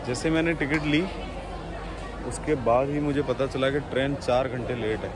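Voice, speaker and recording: A man's voice: medium pitch, steady tone, normal speed. A young man talking casually to his viewers as a travel vlogger, filming himself with a phone at arm's length and an earphone mic on a railway platform. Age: young adult. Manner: chatty, addresses viewers directly. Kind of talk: casual vlog narration to camera. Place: busy railway station platform, outdoors under a roof. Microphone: wired earphone mic, phone held at arm's length. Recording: loud crowd and station noise.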